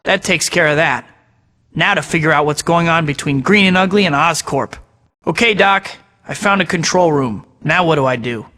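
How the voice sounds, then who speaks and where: An actor voicing a young masked superhero in a video game, talking himself through each task with wry jokes. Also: male voice, fairly high pitch, moderate pace, sharp tone, long reverb tail. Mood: focused. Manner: wry, joking asides to himself. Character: young male masked web-slinging superhero, wisecracking. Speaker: video game hero voice clips. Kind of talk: game voice-over lines.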